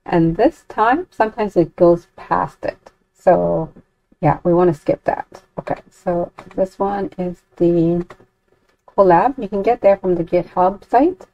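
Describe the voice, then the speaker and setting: A dry, slightly muffled voice-over of a woman presenting a software demo. Her voice sits a little low. She talks informally and hesitantly, working through each step aloud. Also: slow, no echo. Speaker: tutorial presenter. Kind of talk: unscripted software demo walkthrough. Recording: dull, lo-fi, dry close recording.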